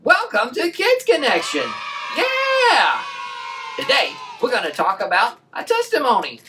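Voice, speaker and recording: A performer voicing an excitable, peppy puppet host who presents a children's show with exaggerated enthusiasm. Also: high voice, unhurried, background noise, pitched above the performer's own voice, exaggerated voice. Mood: peppy, excited.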